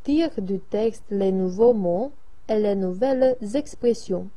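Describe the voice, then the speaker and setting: Slow, mellow-voiced woman reading lessons. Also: mid-range voice. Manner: slow, even, careful reading. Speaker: French lesson reader. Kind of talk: slow read-aloud of dialogues and exercises.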